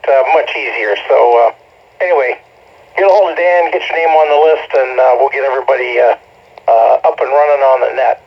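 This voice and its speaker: A man making casual club announcements as an amateur radio operator over a two-way radio. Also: medium pitch. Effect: two-way radio transmission. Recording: muffled ham radio signal.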